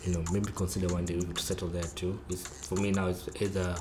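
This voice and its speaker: Man, Nairobi accent, young adult, chatty and laid-back, lowish voice, echoey room. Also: level tone, a little fast. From Kenya. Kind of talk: casual chat. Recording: echoey room with faint noise.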